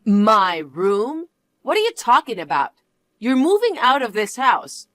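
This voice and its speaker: A woman's voice: fairly high pitch, huge pitch swings, a little slow, fairly bright tone, dry recording, heavily acted. A woman voicing a haughty, domineering mother-in-law who taunts her son's wife. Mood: gloating. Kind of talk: one-voice drama reading.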